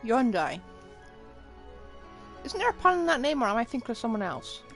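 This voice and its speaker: Female game streamer: slightly high pitch, lively swings, informal playful reactions, light game music behind. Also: moderate pace. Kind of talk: casual reaction commentary over game.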